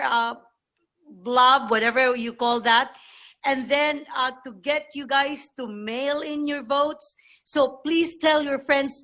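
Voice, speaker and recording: A woman with a high voice talks informally to a group on a video call. Her pace is slow and her manner earnest and urging, circling back to repeat her points. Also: fairly bright tone. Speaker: meeting participant. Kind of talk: informal political remarks to a group.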